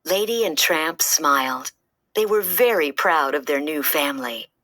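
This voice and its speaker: A woman reads a children's story aloud in a lowish, bright voice. Her delivery is lively and expressive, moving freely up and down in pitch, and the recording is close and dry. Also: measured pace, dry recording.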